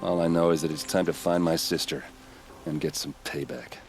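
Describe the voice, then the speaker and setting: A man playing a gruff, stubborn warrior in a video game, with a blunt, informal way of talking. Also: low voice, steady tone, normal speed, soft background music. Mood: bitter, determined. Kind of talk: video game cutscene acting.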